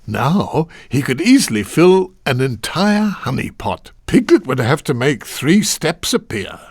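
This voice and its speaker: A man voicing a kindly, genteel storybook narrator in a children's game, speaking in a deliberate, expressive, old-fashioned way. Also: on the high side, breathy edge, no room sound, studio quality, exaggerated voice. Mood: gently musing.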